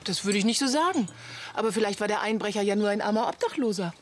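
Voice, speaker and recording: An actress playing a sensible, dryly teasing wife in a German radio comedy drama. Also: female voice, medium pitch, expressive, fast, a little hiss or hum, lightly acted.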